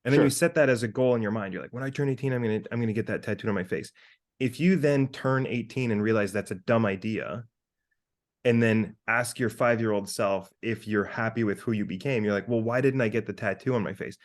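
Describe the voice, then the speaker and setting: A man in his thirties talking casually as a podcast co-host, animated and sweary as he thinks out loud. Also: lowish voice, a little fast. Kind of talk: casual conversational monologue with hypothetical examples.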